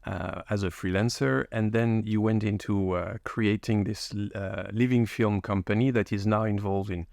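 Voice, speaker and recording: A man's voice: lowish voice, steady tone, normal speed, creaky, darkish tone, no echo, clean studio sound. A man hosting a podcast interview, asking curious questions and summing up the guest's answers. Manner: curious, friendly, summarizing answers back. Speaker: podcast host.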